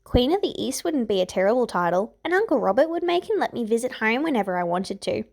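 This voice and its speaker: A woman's voice: on the high side, big pitch swings, fast, vocal fry, a little mellow, full character voice. A woman voicing a spirited, defiant thirteen-year-old princess with an indignant, girlish manner in a fantasy audiobook. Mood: proud, defiant. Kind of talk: fan fiction audiobook character voice.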